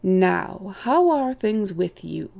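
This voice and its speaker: Woman voicing a genteel, gravelly old chief rabbit. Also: medium pitch, very animated, warm tone, exaggerated voice. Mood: blandly unconcerned. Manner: genteel, vague, patronizing, unhurried. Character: elderly male chief rabbit, politely detached, condescending. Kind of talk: audiobook character voice.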